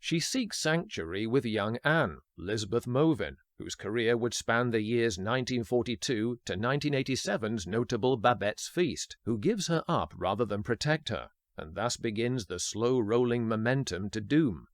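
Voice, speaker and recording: A man hosting a podcast, reading a scripted film history narration in a measured, formal way. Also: mid-range voice, animated, no room sound, studio quality. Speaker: podcast host.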